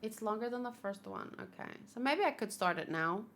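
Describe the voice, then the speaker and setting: A woman chatting casually on a gaming livestream, thinking aloud in an expressive, chatty way. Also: medium pitch, a little fast, soft background music. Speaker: video game streamer. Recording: clear background noise.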